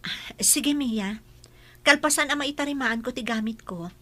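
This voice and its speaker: A bright, forward voice, fairly high, belonging to a young Filipina housemaid acted by a woman. She is anxious and deferential, speaking with polite hesitation in a radio-style drama. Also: measured pace, heavily acted. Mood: obliging.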